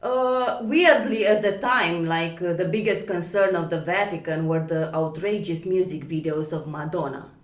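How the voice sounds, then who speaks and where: A woman in her thirties from Romania with a Romanian accent, doing a stand-up set over a video call from home, dry and unhurried, laughing at her own jokes. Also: on the low side, echoey room. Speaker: stand-up comedian. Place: home, at night.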